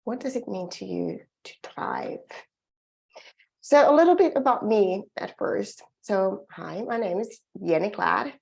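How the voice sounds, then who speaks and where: A middle-aged Finnish woman speaks English with her native accent, presenting a coaching webinar. Her voice has a gravelly, creaky edge at a middle pitch. She sounds earnest and encouraging and often restarts her sentences. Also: animated, unhurried, darkish tone. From Finland. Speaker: emotional well-being coach.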